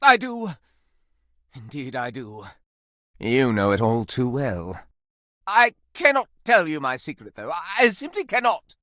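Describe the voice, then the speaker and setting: Flamboyant, swooping, scandal-hungry candelabra. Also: male voice, mid-range voice, huge pitch swings, unhurried, pushed and bright, no room sound, studio quality, heavily acted. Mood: tender. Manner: pompous, florid, melodramatic, breathy swoons and purrs. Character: flamboyant, lustful, theatrical antique candelabra craving scandal. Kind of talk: video game character acting.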